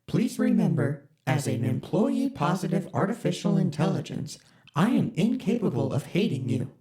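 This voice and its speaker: An actor voicing a deadpan corporate ship AI. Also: medium pitch, moderate pace, lightly acted. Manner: flat, polite, bureaucratic. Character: deadpan corporate spaceship computer AI. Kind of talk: audio drama.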